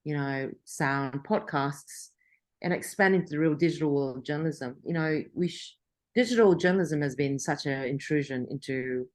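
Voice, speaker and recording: Middle-aged woman, journalist guest, slightly low voice, chatty and self-correcting. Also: moderate pace. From Australia. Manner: chatty, frequent restarts and laughter. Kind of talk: interview conversation.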